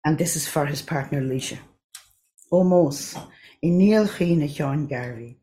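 An Irish woman with a low, deep-set voice reads her poems aloud. She recites in an unhurried, measured way and talks between pieces in a relaxed, chatty tone. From Ireland. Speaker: poet. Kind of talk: poetry reading with chatty asides.

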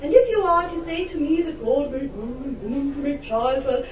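A higher-voiced Irish woman performs spoken word poetry with theatrical passion and dry humorous asides. She sounds distant from the microphone in a roomy venue. The recording is dull and carries steady background noise. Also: normal speed. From Ireland. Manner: impassioned, theatrical delivery with wry humorous asides. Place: live venue before an audience.

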